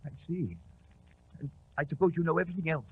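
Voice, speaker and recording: A man playing a guilty, flustered colonel who pleads haltingly in a 1940s radio drama. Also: fairly high pitch, slight background noise, close to an everyday voice. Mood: defeated, pleading. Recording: tinny, muffled 1940s radio recording.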